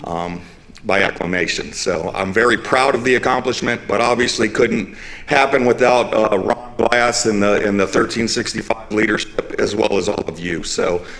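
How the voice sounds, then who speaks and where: A man with a fairly low voice addresses a city council. He sounds earnest and proud and hesitates often on fillers as he speaks. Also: fairly bright tone, rough recording. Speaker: firefighter union leader.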